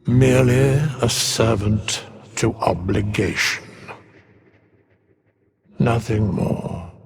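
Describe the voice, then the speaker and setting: An actor voicing an ancient, solemn undead skeleton in a video game, speaking cryptically in archaic, formal phrases with a raspy, whispery voice. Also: male voice, lowish voice, full character voice. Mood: solemn, cryptic. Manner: archaic, formal, deliberate speech. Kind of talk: video game character dialogue.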